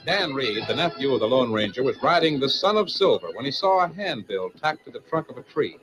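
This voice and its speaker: A man's voice: mid-range voice, expressive, fairly quick, loud music behind the voice, rough recording. A man narrating a western adventure as a grand, declamatory old-time radio announcer.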